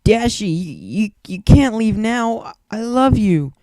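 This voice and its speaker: A devoted female pony, put on by a man, pleads in a raised, high voice. The delivery is emotional and stumbling, in the manner of a dramatic fan story reading. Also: sing-song, a little slow, darkish tone, no echo, clean studio sound, full character voice. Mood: distraught. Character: devoted distraught pink female earth pony.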